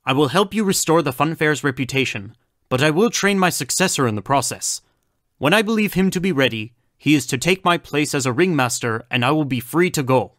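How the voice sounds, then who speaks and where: A man acting the part of a polite, self-possessed doctor in an audio drama, speaking in a formal, measured way. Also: fairly high pitch, animated, a bit bright, no echo, clean studio sound. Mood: firm. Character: polite, self-possessed male doctor turned reluctant funfair ringmaster.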